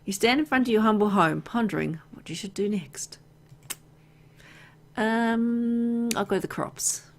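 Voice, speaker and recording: A woman's voice: on the high side, a little slow. A woman reading a video game's story aloud, mixed with casual, playful asides as she plays. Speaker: video game let's player.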